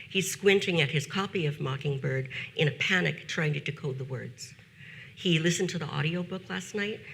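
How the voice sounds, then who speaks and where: Gravelly-voiced woman teacher giving a talk. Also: low-pitched, a bit bright, slight background noise. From United States. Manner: measured, deliberate delivery with slowed emphasis on key phrases. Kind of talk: prepared conference talk.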